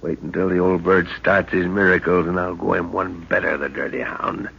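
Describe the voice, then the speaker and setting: A gravelly, stern expedition father. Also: sits low, level tone, moderate pace, exaggerated voice. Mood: determined. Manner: gruff, commanding and scornful. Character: stern male father leading a jungle expedition. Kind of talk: 1930s radio drama acting. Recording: dull old radio recording with hiss.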